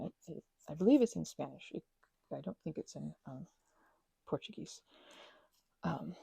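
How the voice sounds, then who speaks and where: A woman rambles through a soft-spoken crafting chat, eager and full of asides. Her voice is mellow and breathy with a gentle vocal fry. The sound is dry and close to the microphone. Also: low voice, dry recording, poor audio. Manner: chatty, enthusiastic, trails off into restarts and asides. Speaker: ASMR channel host.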